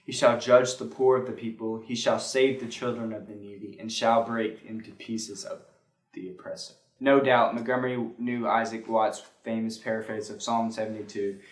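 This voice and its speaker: A man reading a scripted church devotional on hymn history, occasionally stumbling over words and correcting himself. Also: medium pitch. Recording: roomy, echoing sound.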